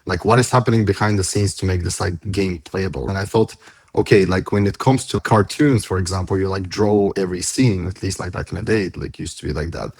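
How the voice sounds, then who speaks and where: A man's voice: low voice, fairly quick, slightly rough, no echo. A man telling his story casually as an interview guest, peppering his talk with "like". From Bulgaria. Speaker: podcast guest, tech company founder.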